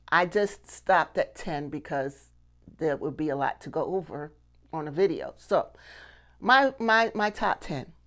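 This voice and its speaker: A middle-aged woman giving an instructional talk to camera as a teacher, emphatic and repeating key phrases for stress. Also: lowish voice. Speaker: puppetry teacher and video host.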